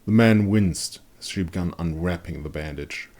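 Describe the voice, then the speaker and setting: A man narrating a dark fantasy story as a dramatic audiobook reading. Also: low voice, normal speed.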